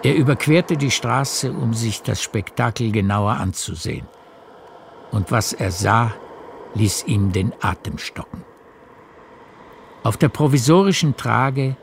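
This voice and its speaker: A man narrating a literary radio play in a measured storytelling manner. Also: on the low side, vocal fry, slight background noise. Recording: music behind the narration.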